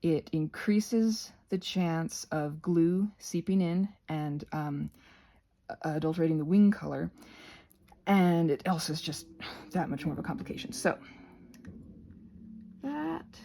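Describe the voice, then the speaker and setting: A woman's voice: low voice, expressive, unhurried, creaky, long pauses, mellow. A woman giving a casual, rambling craft tutorial, often interrupting herself with playful asides.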